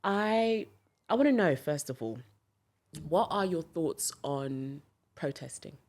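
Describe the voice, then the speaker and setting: A woman's voice: sits low, lively intonation, takes it slowly, a little mellow. A woman with a Scottish accent hosting a podcast in a studio, chatting casually and backing her guest with frequent mm-hmms. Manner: upbeat, affirming with frequent mm-hmms. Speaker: podcast host. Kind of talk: casual interview chat.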